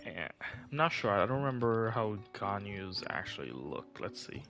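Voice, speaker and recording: Animated, bright-voiced male game streamer. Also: lowish voice, normal speed, creaky, faint music behind. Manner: thinks aloud with fillers and rhetorical questions. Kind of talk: casual gameplay commentary.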